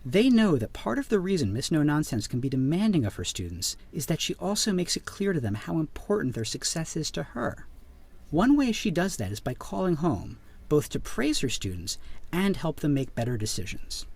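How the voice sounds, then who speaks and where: A man's voice: a little high, big pitch swings, moderate pace, vocal fry, darkish tone, slightly rough. A man reading a scripted explainer narration in a playful storytelling way, with emphatic stresses. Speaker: narrator of a teacher training video.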